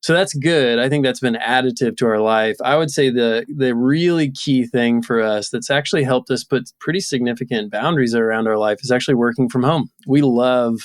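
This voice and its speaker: A man's voice: medium pitch, fairly quick, few pauses, no room sound, clean studio sound. A man in his thirties talking casually and reflectively as a guest in an interview. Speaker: podcast guest, entrepreneur.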